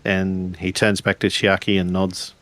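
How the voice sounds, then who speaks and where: Man, tabletop game player, lowish pitch, slow measured narration, frequent pauses, dry close sound. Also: monotone, fairly bright tone, no echo. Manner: measured, pausing between phrases.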